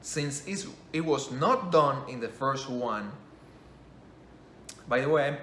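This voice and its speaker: A man's voice: mid-range voice, takes it slowly, bright, forceful tone, slight background noise. A man talking in English, which is not his first language, giving a casual spoken review with many restarts and self-corrections. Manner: rambling, self-correcting, enthusiastic. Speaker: game commentator. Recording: echoey room, faint ambience.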